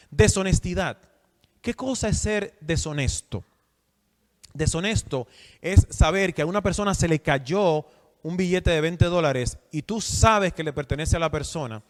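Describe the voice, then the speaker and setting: A man from the Republic, preaching a sermon and putting questions to his listeners. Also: high-pitched. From Dominican Republic. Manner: asks the audience questions, emphatic. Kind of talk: sermon teaching. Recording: long hall reverb.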